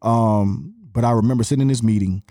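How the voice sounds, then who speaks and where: A middle-aged man with an Atlanta Southern accent, a podcast guest telling stories in an animated, slangy way. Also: mid-range voice, brisk, no room sound, clean studio sound. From Atlanta, USA. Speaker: podcast guest, music marketer. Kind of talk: casual storytelling chat.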